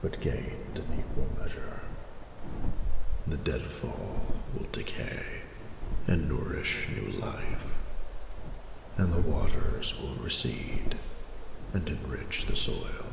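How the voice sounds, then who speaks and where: A man voicing an ancient, noble male dragon in a romantic audio roleplay, speaking formally and solemnly with tenderness in a gravelly, breathy voice. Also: rumbling low, full character voice. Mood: solemn, reverent. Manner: slow, stately, formal phrasing. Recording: reverberant, echoing, noisy ambient bed.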